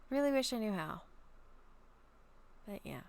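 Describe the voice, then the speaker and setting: A woman chatting casually and reading her own story aloud at home, a little raspy from a long talking session, rambling with many soft ums. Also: mid-range voice, lively intonation, unhurried. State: slightly raspy throat. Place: at home, bedroom.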